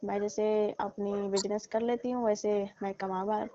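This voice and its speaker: A woman's voice: medium pitch, little pitch movement, unhurried, lightly acted. A woman playing a chatty, defensive fruit-seller auntie in a comic phone call, talking colloquially and repeating herself. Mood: chatty, resigned. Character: chatty, defensive middle-aged auntie who sells fruit. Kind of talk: staged comic phone conversation, casual chat. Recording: muffled lo-fi call recording with hiss.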